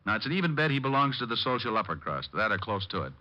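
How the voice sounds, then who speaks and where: An actor playing a cocky, easygoing American private detective with dry sarcasm, in old radio drama style. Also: male voice, lowish voice, big pitch swings, fast, sharp tone, exaggerated voice. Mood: matter-of-fact. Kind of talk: 1950s radio detective acting. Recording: dull vintage radio recording.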